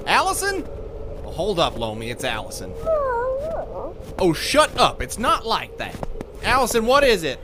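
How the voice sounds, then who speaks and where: An actor playing a folksy, kindly leader of survivors in an audio drama, talking in an earnest, rambling, hesitant way. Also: male voice, high voice, huge pitch swings, a little slow, pauses often, background noise, heavily acted. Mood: puzzled, stubborn. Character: folksy, kindly male survivor leader hauling wounded mole.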